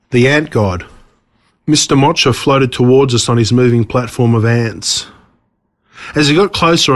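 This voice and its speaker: Australian man narrating a dramatic story. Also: mid-range voice. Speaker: author reading own story.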